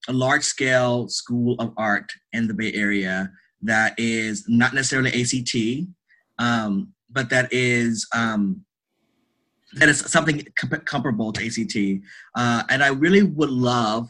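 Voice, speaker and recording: Guy getting interviewed about his art, bright punchy voice, super earnest, repeats stuff for emphasis. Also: mid-range voice, normal speed, low-quality recording. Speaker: guest, theatre director and artist. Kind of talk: conversational interview answers.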